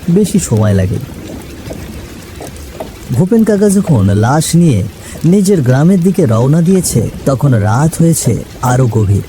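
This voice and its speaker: A man's voice: medium pitch, warm tone. A man narrating a scripted story in a dramatic storytelling style.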